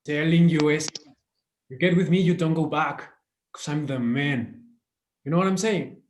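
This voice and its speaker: Man acting as cocky young male thug, high pitch, taunting slang, belligerent, echoey room. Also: moderate pace, slight background noise, close to an everyday voice. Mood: cocky, threatening. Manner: taunting, loud street slang. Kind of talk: screenplay table read acting. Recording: roomy, echoing home recording.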